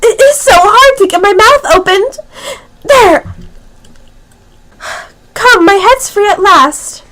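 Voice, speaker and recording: A female performer playing a polite, bewildered little girl in an amateur radio play, earnest and expressive. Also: very high-pitched, slow, pauses often, full character voice. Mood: flustered.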